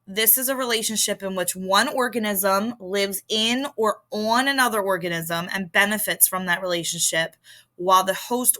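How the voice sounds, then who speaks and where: A woman teacher with a Philadelphia accent explains vocabulary in an informal recorded lesson. Her voice is bright and forward, and she takes her time, addressing students in a conversational way. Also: mid-range voice. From Philadelphia area, United States.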